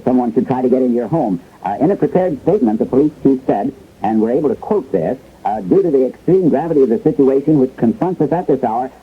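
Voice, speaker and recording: An actor playing a flustered local radio newsman in a 1970s emergency bulletin, halting and groping for words as he relays grim orders. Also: mid-range voice, lightly acted. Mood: uneasy, halting, then grave, halting. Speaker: radio newscaster. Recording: tinny, hissy vintage radio broadcast.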